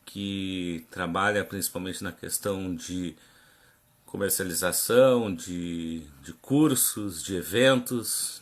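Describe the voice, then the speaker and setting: Easygoing male host of a live chat. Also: lowish voice. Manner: relaxed, informal, many fillers like "né". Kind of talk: live online interview.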